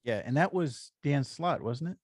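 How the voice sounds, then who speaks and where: A man's voice: a little high, expressive, normal speed, no room sound, studio quality. A man co-hosting a podcast, chatting casually and agreeably as a fan. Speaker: comics podcast co-host.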